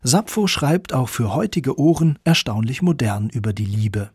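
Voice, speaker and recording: A man's voice: medium pitch, expressive, normal speed, darkish tone, breathy edge, dry recording, studio quality. A man with a standard German accent reading scripted narration as the narrator of a radio feature.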